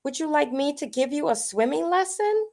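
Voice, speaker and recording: A woman voicing a gentle, reassuring mother llama in a children's storybook, with a soothing manner. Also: high-pitched, expressive, normal speed, voice pushed up.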